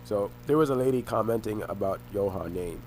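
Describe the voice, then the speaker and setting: A young man rambles on in a halting way, circling back and restarting his sentences as he talks. His voice sits on the low side. A faint hum runs under the recording. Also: a little mellow, low-quality recording. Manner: halting, repeats and restarts phrases. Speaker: vlogger. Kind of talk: rambling personal monologue.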